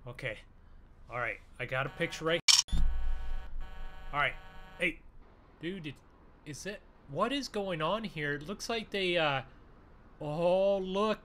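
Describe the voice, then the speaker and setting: A man bantering in an improvised gaming roleplay, excitable and full of exclamations, often urging others on. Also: fairly high pitch, takes it slowly, pauses often. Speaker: gaming video creator playing a truck roleplay. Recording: faint background noise.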